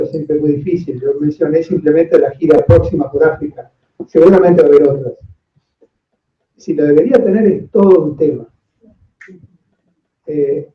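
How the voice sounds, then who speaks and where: A man giving a measured, careful, analytical answer as a panelist. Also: fairly high pitch. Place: echoey indoor room at panel event. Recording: echoey room, distant mic, dull sound.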